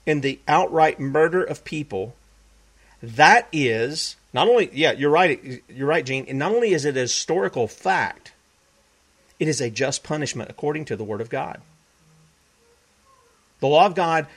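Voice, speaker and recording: A man hosting a web broadcast, preaching emphatically, repeating himself and quoting scripture. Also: a little high. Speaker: talk show host.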